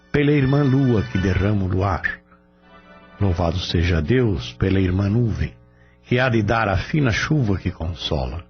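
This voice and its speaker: A man acts out a humble spirit orator in a radio drama, praying solemnly in a low voice. The delivery is reverent and declamatory, with music behind and a slightly dull recording. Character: small, humble male spirit orator reciting a reverent prayer.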